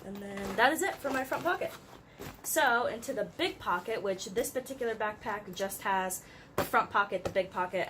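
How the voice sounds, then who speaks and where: Young woman vlogger, stuffy and congested, loose chatty delivery with lots of "like", mid-range voice, faint background hiss. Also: medium pitch. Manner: chatty, uses "like" often, light laughs. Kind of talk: casual chat. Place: her room.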